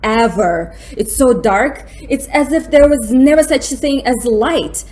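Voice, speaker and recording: A woman's voice: high voice, unhurried, a little mellow, poor audio. A woman playing an impressionable, anxious young lady in a radio drama, with a plaintive, dramatic way of speaking. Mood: frightened. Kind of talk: stage-like radio drama acting.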